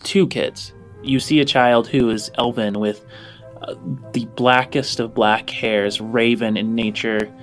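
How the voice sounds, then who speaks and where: A man acts as game master for a sci-fi tabletop game. He has a medium-pitched voice and narrates in deliberate, stretched phrases. Quiet music plays underneath. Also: fairly even intonation. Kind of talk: improvised descriptive game narration.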